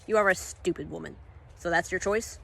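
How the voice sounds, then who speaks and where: A woman voicing a cruel, composed male villain in a story, hushed and dark, with a taunting, deliberate delivery. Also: fairly low pitch, vocal fry, breathy edge, heavily acted. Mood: cold threat. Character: sly, cruel male fire-wielding villain, menacing and composed.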